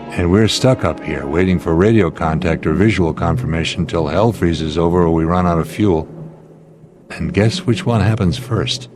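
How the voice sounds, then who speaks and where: An American actor playing a calm, reasoning middle-aged airline captain in an audio drama, speaking in a deliberate, authoritative, explanatory way. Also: male voice, low voice, close to an everyday voice.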